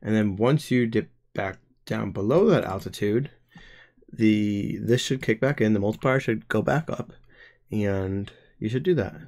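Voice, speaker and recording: A man in his twenties, live streaming as a game developer and thinking aloud while he codes, hesitant, with many pauses and restarts. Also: on the low side, a little mellow. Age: 20s.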